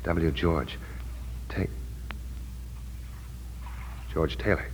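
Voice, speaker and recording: An actor playing a tense amnesiac war veteran in a hard-boiled 1940s radio drama. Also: male voice, rumbling low, extremely slow, darkish tone, slight background noise, heavily acted, poor audio. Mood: grim, agitated. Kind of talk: 1940s radio drama acting with first-person narration. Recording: old 1940s radio broadcast.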